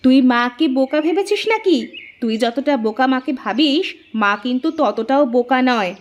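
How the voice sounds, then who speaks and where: A woman's voice: high-pitched, expressive, moderate pace. A woman voicing a scheming, spiteful elder daughter-in-law in a cartoon, with an insinuating, wheedling manner. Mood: mocking, spiteful. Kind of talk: cartoon family drama acting.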